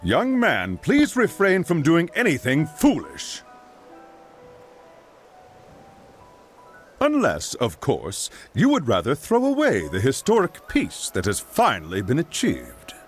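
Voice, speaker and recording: An actor playing a nervous, formal servant to a villain lord in a game cutscene. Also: male voice, fairly high pitch, wildly swooping pitch, a little slow, hardly any pauses, faint music behind. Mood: warning. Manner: formal and fawning, stammers. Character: nervous, deferential male henchman. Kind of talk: video game cutscene acting.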